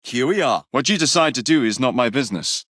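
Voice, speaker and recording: An actor with an English accent playing a cocky, sardonic young Victorian London gang-leader assassin in a video game. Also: male voice, mid-range voice, animated, fairly quick, fairly bright tone, no echo, studio quality. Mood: brisk, sardonic. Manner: sardonic, flippant, cheeky. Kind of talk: video game character voice lines.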